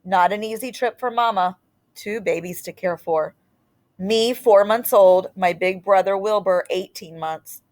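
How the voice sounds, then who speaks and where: Woman reading a picture book aloud, unhurried. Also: medium pitch, darkish tone. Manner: unhurried, short deliberate phrases with dramatic pauses. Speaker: read-aloud storyteller. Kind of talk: expressive picture-book read-aloud with brief asides.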